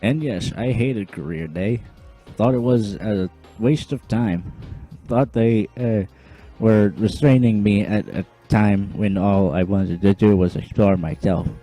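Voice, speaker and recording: A man's voice: lowish voice, a little slow, hardly any pauses, mellow, soft background music, low-quality recording. A man voicing a popular, folksy middle-aged mayor who gives a chatty speech with a slight put-on accent. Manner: chatty, jokey, public-speaking.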